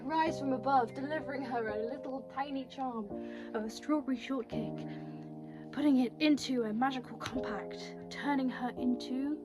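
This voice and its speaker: A young woman hosts a chatty vlog in a fairly high voice. She is expressive and bubbly, with casual slangy phrasing. Music plays clearly behind her. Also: normal speed. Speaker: cosplay video creator and host. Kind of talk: chatty vlog introduction to camera.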